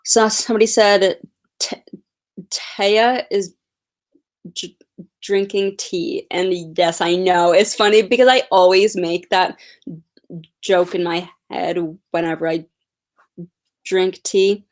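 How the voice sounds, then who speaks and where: 19 yr old girl stuttering thru a chill livestream chat, talks real slow, repeats sounds. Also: mid-range voice, fairly even intonation. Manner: answers viewer questions, speaks slowly. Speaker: livestream host.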